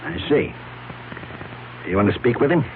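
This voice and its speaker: An actor playing a formal, measured attorney. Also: male voice, medium pitch, animated, natural voice. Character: formal, cautious attorney and boss man. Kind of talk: 1970s radio drama acting. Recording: tinny lo-fi old radio broadcast with faint hiss.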